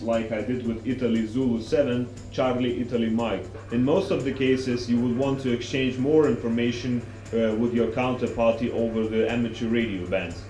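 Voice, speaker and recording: A man's voice: medium pitch, warm tone. A young adult man, demonstrating and explaining amateur radio calls as presenter and operator. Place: small indoor radio room. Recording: roomy echo, faint hum and noise.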